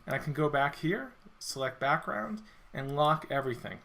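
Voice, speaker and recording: A man walking through a software feature in a screen-recorded demo, explaining step by step, his voice a little worn as he clears his throat. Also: on the high side, normal speed. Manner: relaxed explanatory walkthrough with self-corrections. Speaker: software product presenter.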